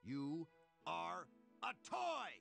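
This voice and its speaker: A man's voice: a little high, huge pitch swings, extremely slow, vocal fry, a bit bright, faint music behind, full character voice. A man playing a firm, heroic toy space ranger in a storybook recording. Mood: urgent, scornful.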